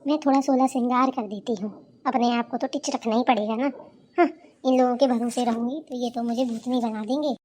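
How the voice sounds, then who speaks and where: Cartoon daughter-in-law, female actor: young, lazy, brash, high soft-toned voice, always complaining and talking down. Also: steady tone, brisk, close to an everyday voice. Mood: grumbling. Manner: scornful, bossy, complaining. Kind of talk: cartoon character dialogue.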